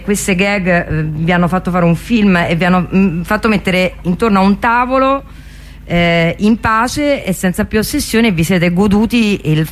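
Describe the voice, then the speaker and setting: A woman with a Roman Italian accent interviewing informally on radio, emphatic and gushing, quick to talk over others. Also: fairly low pitch. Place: radio studio.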